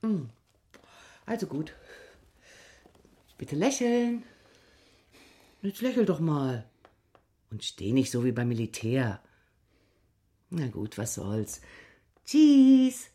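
A woman's voice: low-pitched, big pitch swings, slow pace, long pauses, mellow, full character voice. An actress playing a reckless, exuberant and capricious young mother in a radio drama, theatrical and impulsive. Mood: offhand.

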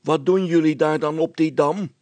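A man voicing a suspicious, grumbling river skipper in an audiobook story, calling out loudly. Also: a little high, fairly even intonation, moderate pace, no echo, voice pushed up, natural voice. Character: suspicious, gruff Dutch river barge skipper, shouting.

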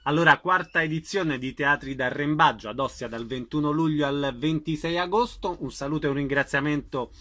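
A man's voice: a little high, a little fast, hardly any pauses, fairly bright tone. A man working as a radio interviewer, asking brief questions in a concise, businesslike way.

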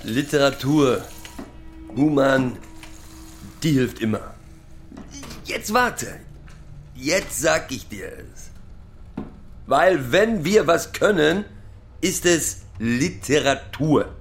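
An actor playing a rambling, proudly sentimental middle-aged pub drinker who lectures and recites poetry in a halting, self-correcting way. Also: male voice, a little high, expressive, soft background music, full character voice. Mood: insistent, boastful. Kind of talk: theatrical monologue with halting recitation.

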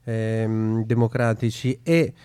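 A man's voice: on the low side, little pitch movement, slow pace, mellow, smooth, dry recording. A man hosting an informal radio discussion, hesitant and searching, often repeating words as he builds his point. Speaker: radio show host.

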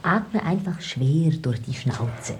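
An actress playing a cool, coaxing lover in a German radio drama, speaking in a hushed, deliberate way. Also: female voice, low-pitched, expressive, a little hiss or hum. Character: coaxing, cool lover seeing off her man.

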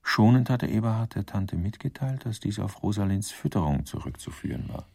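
A man with a low, creaky voice narrates a radio drama. His delivery is deadpan and formal, with a crisp edge and a very dry, close sound. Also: brisk, dry recording.

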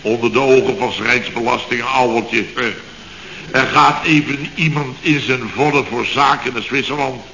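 A man's voice: mid-range voice, moderate pace, bright, forceful tone, a little hiss or hum. A man playing a construction boss, telling a comic story in a radio sketch and peppering it with little tags. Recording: dull, echoey old radio recording.

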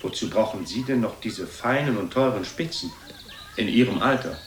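A severe son in his thirties acted by a man, his voice on the low side. He speaks formally and deliberately in radio-play style, disapproving, with faint noise behind him. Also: animated, close to an everyday voice. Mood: stern, demanding. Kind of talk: theatrical German radio drama acting.

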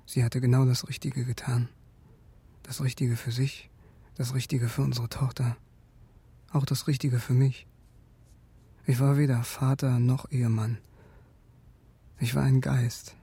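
A haunted, resolute soldier in a radio drama. His voice is mellow and slightly gravelly, with little rise or fall. He recalls things tersely and soberly. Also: medium pitch, flat delivery, unhurried, long pauses. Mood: bleak, resigned. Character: war-worn male sergeant, haunted, stoic, resolute. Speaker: defendant and narrator. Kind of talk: German radio drama acting, first-person recollection.

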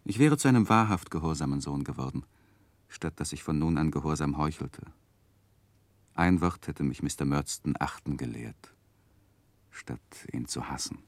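An actor playing a grown man who looks back on his childhood, narrating a 1960s German radio drama in a measured, reflective way. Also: extremely low pitch, expressive, dry recording. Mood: regretful.

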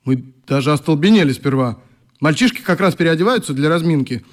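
An actor in a radio play as an easygoing male youth club leader, telling stories in an animated, teasing way. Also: fairly high pitch, fairly quick, lightly acted. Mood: amused, teasing. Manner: animated, teasing, mimics people he quotes. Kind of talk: radio drama acting, storytelling in dialogue.

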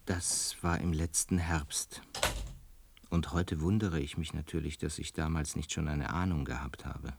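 A man's voice: very deep voice, fairly even intonation, a little slow, vocal fry, a little hiss or hum, full character voice. An actor playing an earnest, awkward male lodger in a German radio play, with a formal, hesitant, pedantic way of explaining. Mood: pensive, bewildered. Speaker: narrator and main character. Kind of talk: German radio play, dialogue and reflective narration.

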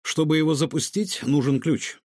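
A man voicing a kind, gentle old professor who speaks softly and mildly. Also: a little high, moderate pace, no room sound, studio quality. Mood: calm. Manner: soft, mild.

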